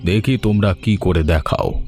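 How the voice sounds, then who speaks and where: A man as a deep-voiced village landlord. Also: measured pace, a little mellow, soft background music, natural voice. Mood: benevolent, firm. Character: fair-minded, kindly but firm village landlord. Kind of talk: Bengali cartoon story dialogue.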